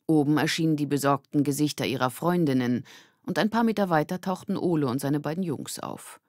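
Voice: medium pitch, no echo, clean studio sound. A narrator reading a scripted children's story. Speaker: audiobook narrator.

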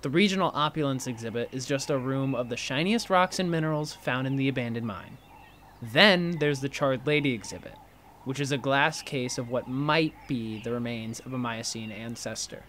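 An actor playing an inquisitive, teasing college student in a fiction podcast, with a prodding, excitable manner. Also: male voice, mid-range voice, moderate pace, a little hiss or hum, natural voice. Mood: pensive. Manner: prodding, animated, incredulous.

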